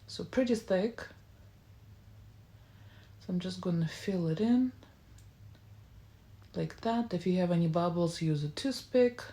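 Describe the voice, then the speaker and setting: A woman's voice: fairly low pitch, animated, measured pace, pauses often, mellow. A woman hosting a baking tutorial, narrating each step casually with informal, slightly non-native English phrasing. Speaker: baking channel host.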